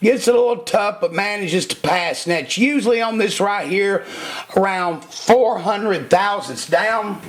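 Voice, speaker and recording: A man's voice: sits high, unhurried. A man losing his voice, walking viewers through a cylinder head job in a folksy, explanatory workshop demonstration. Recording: echoey workshop.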